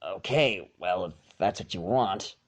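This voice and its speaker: A man's voice: low voice, lively intonation, a little slow, voice pushed down. A man voicing a reluctant but obliging young male dragon in a storybook reading, with a hesitant, grudging manner. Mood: unsure.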